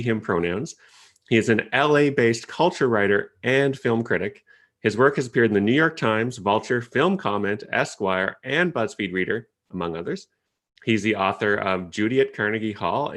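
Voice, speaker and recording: Relaxed man moderating a panel. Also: fairly low pitch. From Ottawa, Canada. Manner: relaxed, chatty, with hesitant fillers and small laughs. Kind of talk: casual moderating of an online panel. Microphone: video call.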